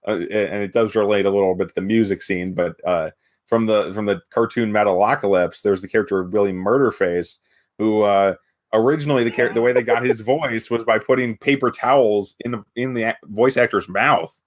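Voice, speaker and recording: Low-pitched man chatting on a podcast. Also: fairly quick. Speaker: podcast co-host. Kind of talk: casual podcast discussion.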